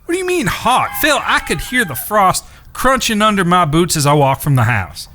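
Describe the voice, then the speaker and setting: Man acting a lively, wry cowboy brother. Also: high voice, moderate pace, soft background music, exaggerated voice. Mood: puzzled, concerned. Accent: American. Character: inquisitive, plain-spoken cowboy brother, wryly observant. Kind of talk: Western audio drama acting.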